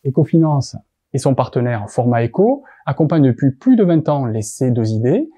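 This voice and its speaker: A man's voice: mid-range voice, very animated, moderate pace, mellow. A man with a French accent from France presents a scripted explainer in a formal, didactic way.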